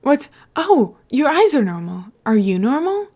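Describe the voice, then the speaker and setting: Woman voicing an excitable, upbeat young guy, pitched up. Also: measured pace, heavily acted. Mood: curious, surprised. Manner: exclaiming, enthusiastic. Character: excitable, teasing young man, supportive best friend. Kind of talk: podfic character dialogue.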